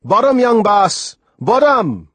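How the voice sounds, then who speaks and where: A man performs an old West Indian seaman for an audiobook, pitched up and hearty. He speaks in dialect with emphatic, deliberate phrasing and a reassuring temper. The recording is clean and dry. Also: breathy edge, no room sound, lightly acted. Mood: excited shouting. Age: older. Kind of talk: audiobook character voice.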